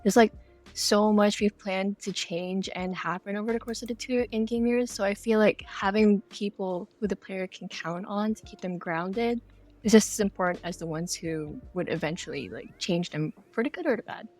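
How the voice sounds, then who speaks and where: A woman's voice: mid-range voice, normal speed, a little mellow, faint music behind. A woman answering earnestly and casually as a creator being interviewed. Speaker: game creator, interview guest.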